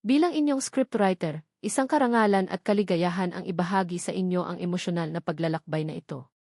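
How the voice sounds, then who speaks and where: A woman's voice: on the low side, brisk, vocal fry, darkish tone, no room sound, studio quality. A woman with a Filipino accent reading scripted narration for a romantic audio drama. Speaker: story narrator.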